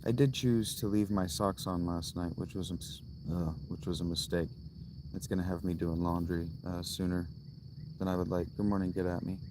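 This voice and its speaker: A man in his thirties chatting on a casual livestream from inside a tent, musing slowly and restarting his thoughts as he goes. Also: deep voice, steady tone, background noise. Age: 30s. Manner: slow, musing, thinks aloud with restarts. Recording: loud crickets and outdoor ambience. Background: crickets.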